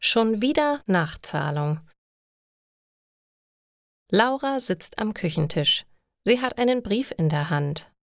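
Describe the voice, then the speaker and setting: A woman narrating a scripted story for language learners, reading clearly and carefully. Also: medium pitch, expressive, takes it slowly, long pauses, dry recording, clean studio sound.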